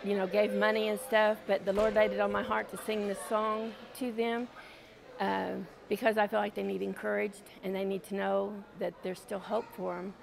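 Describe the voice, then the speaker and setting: A woman interviewed about singing for churches, speaking earnestly with a gravelly voice. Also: medium pitch, level tone, normal speed. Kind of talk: casual interview.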